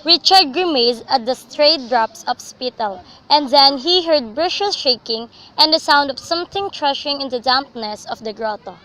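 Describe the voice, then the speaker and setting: A woman narrates a literary radio drama as a dramatic storyteller. Her voice is very high and bright. The amateur recording carries faint noise. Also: lively intonation, moderate pace, hardly any pauses, low-quality recording.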